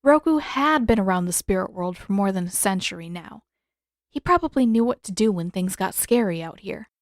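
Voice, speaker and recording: A woman's voice: medium pitch, animated, moderate pace, dry recording. Woman narrating a story, dry recording. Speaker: narrator, fan fiction author.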